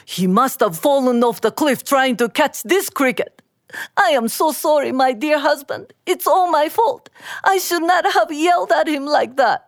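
A woman's voice: high voice, animated, normal speed, dry recording, studio quality, pitched above the performer's own voice. A woman voicing an earnest, emotional village wife and mother in a live folktale. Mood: remorseful, tearful.

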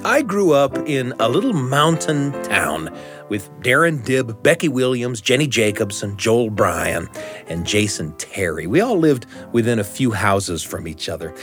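A man's voice: fairly low pitch, a bit bright. Man telling a nostalgic story. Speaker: host. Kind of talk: storytelling monologue.

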